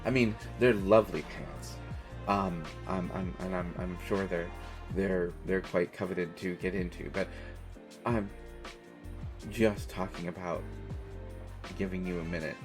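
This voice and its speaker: An American man playing an awkward, kindly stranger in an intimate, casual audio roleplay, talking in a halting way with trailing pauses. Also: low-pitched, fairly even intonation, music in the background. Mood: shy, coaxing. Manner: hesitant, halting, with trailing pauses and self-corrections. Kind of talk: intimate one-sided audio roleplay, casual conversational acting.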